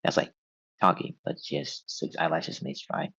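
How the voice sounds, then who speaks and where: A man's voice: normal speed, a bit bright, no room sound. A man reading script directions aloud at a table read, on a slightly dull recording. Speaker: stage-direction reader.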